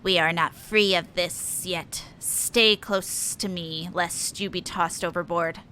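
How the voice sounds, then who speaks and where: A woman's voice: lowish voice, steady tone, slow pace, sharp tone, a little hiss or hum, voice pushed down. A woman voicing a stern, protective male fish-man warrior from a video game, formal and commanding. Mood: resolute. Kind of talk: video game dialogue read aloud.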